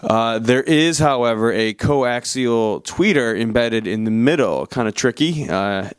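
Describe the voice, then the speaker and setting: Guy doing a laid-back review voiceover, mid pitch, really clean smooth voice. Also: unhurried. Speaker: product reviewer. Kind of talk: casual review voice-over.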